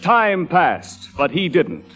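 A man's voice: fairly high pitch, huge pitch swings, slow, over loud music. An American man announcing and narrating in a scripted, mock-grand 1940s radio style.

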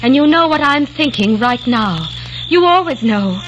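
A woman's voice: fairly high pitch, animated, unhurried, lo-fi sound. An actress playing a frightened young woman breaking her engagement in an old radio drama, speaking tense and defiant. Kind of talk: 1940s radio acting. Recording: noisy old radio recording.

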